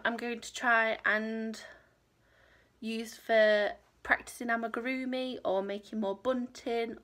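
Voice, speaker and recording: A woman in her thirties chatting casually to camera in a small home craft room, rambling and self-deprecating, sounding a little nasal from a cold. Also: medium pitch. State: stuffy nose, nasal from a cold. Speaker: crochet vlogger.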